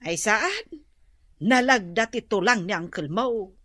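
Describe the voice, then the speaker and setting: A woman's voice: medium pitch, expressive, slow, fairly bright tone, no room sound. A woman acting a bossy, wheedling auntie in a radio comedy drama, full of exclamations, with an Ilocano accent. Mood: indignant.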